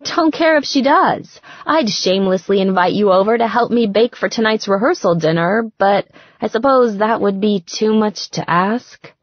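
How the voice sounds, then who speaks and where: A woman's voice: medium pitch, animated, moderate pace, hardly any pauses, no echo, lo-fi sound, voice pushed up, lightly acted. A woman voicing a frantic, bossy, dramatic bride-to-be in an audiobook, emphatic and slangy. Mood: gushing, pleading. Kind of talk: audiobook character dialogue.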